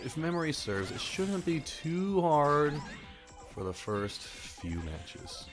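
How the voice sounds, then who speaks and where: A man's voice: fairly high pitch, big pitch swings, takes it slowly, over music. A young man whose first language is Japanese, chatting casually and mocking himself while he plays a puzzle game for his channel. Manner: rambling, self-mocking, chatty. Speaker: gaming channel host. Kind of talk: casual gameplay commentary.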